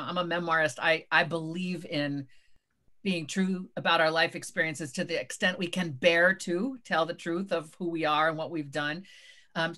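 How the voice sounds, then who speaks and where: A woman moderating a book conversation, measured and thoughtful with frequent hesitations. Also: low-pitched, a bit bright. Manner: measured, many um and uh fillers. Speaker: interviewer and moderator.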